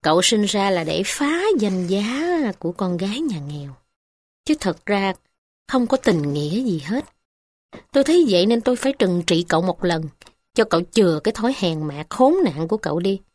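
A woman's voice: mid-range voice, animated, normal speed, darkish tone, no echo, close to an everyday voice. A woman voicing a bold, blunt poor village man who stands up to the gentry. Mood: recounting. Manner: blunt, defiant.

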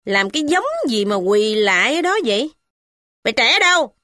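A woman reading a story aloud, voicing a shrill, quarrelsome young wife who scolds without stopping. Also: normal speed, pushed and bright, no room sound, studio quality. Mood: sneering.

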